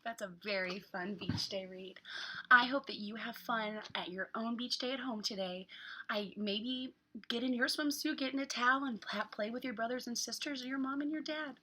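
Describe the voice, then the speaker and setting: A woman's voice: on the high side, normal speed, a little hiss or hum, low-quality recording. A woman reading a picture book aloud for young children, with exaggerated, dramatic emphasis on words. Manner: exaggerated, animated delivery with dramatic word emphasis. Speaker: children's read-aloud host.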